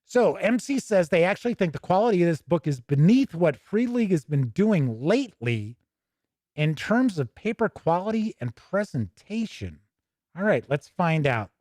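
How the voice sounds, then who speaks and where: A man with a slightly high-pitched voice reviews tabletop games in a dry, close-sounding space. He talks conversationally and gives his opinions freely. He often pauses mid-sentence as he thinks aloud. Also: big pitch swings, a little mellow, no room sound. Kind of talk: casual unscripted product review with passages read aloud.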